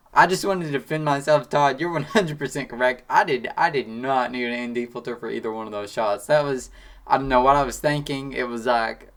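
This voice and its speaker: A sixteen-year-old boy from Kentucky with a country accent, chatting excitedly as he answers viewer questions from his home studio. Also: mid-range voice. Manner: excitable, chatty, defensive then joking. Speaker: photography channel host. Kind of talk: casual Q&A reading comments.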